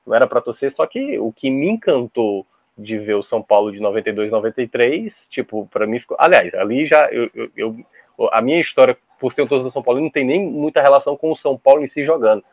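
A man's voice: medium pitch, dry recording. Man from on a tinny line. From Ceará, Brazil. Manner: halting, many restarts and repeated words. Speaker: podcast guest. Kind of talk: casual podcast chat. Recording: dry tinny phone-like line.

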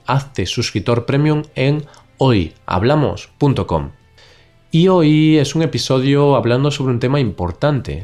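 A man from with a stuffy nose from a cold, hosting a casual podcast for learners over a video call. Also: mid-range voice. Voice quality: nasal. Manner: relaxed, chatty, laughs often. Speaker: podcast host. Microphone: recorded over a video call.

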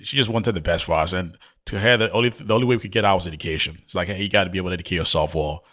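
Very fast, deep-voiced Ghanaian man chatting. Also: fairly bright tone. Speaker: podcast guest, oil and gas professional. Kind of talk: casual chat, personal reminiscence.

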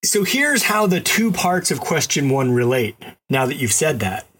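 A man from the Boston area co-hosting a podcast, talking in a relaxed, wry, questioning way. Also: fairly high pitch, normal speed, slightly rough. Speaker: podcast co-host. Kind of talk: casual chat.

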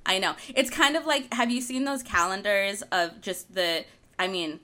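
A woman's voice: medium pitch, expressive, moderate pace, bright, forceful tone. A young woman from Argentina co-hosting a podcast in casual chat, laughing often and tripping over her words. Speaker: podcast co-host.

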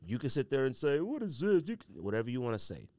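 A man's voice: medium pitch, very animated, fast, a little mellow, dry recording. An American man hosting a solo podcast, giving opinionated commentary in slangy, colloquial speech and repeating phrases for emphasis. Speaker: podcast host.

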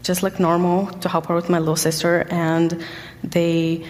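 A young woman from Egypt giving a personal testimony as a guest speaker, halting often with ums and pauses. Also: fairly low pitch, completely flat delivery, a little mellow, big-room sound. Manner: hesitant, many ums and pauses. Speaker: guest speaker sharing her story.

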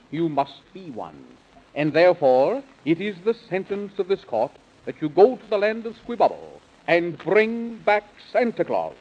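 A man's voice: a little high, darkish tone. An actor playing a silly, chuckling, dithering king who presides over a nonsense court in a 1930s children's radio comedy. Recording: muffled vintage 1930s radio recording.

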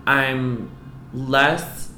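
A woman from the US East Coast telling a personal story to a host, candid and animated, filling her talk with "like" and some swearing. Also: extremely low pitch, very slow, a little hiss or hum. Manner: frank, animated, peppered with "like" and swearing. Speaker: guest. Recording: roomy echo.

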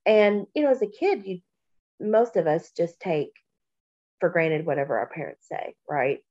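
A woman from Kentucky co-hosting a podcast, talking slowly and reflectively, with a stuffy nose from allergies. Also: fairly low pitch, muffled recording. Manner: slow, warm, reflective, with many pauses and fillers. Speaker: podcast co-host. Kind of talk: casual podcast chat.

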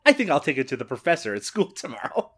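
A man's voice: a little high, huge pitch swings, fast, a bit bright, slightly rough. A Canadian man co-hosting a podcast, recapping a movie in casual chat and laughing often. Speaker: podcast co-host.

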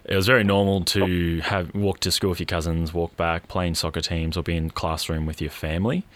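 A man's voice: deep voice, flat delivery, fairly quick, fairly bright tone, no room sound. A man in his thirties hosting a podcast, chatting casually and self-deprecatingly with a guest, with plenty of "um" fillers. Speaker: podcast host. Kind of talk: casual interview chat.

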